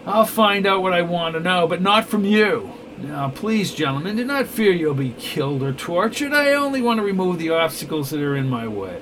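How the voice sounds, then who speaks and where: A man's voice: high voice, sing-song, moderate pace, hardly any pauses, fairly bright tone, slight background noise. A man voicing a cold, scheming male villain who speaks with clipped, imperious menace. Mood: smug.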